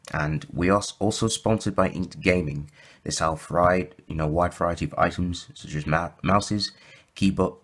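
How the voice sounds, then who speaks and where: Croaky low-voiced man walking through cards. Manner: rambling with frequent fillers and self-corrections. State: slightly croaky from sore throat. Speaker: YouTube deck profile host. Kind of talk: casual explanatory walkthrough.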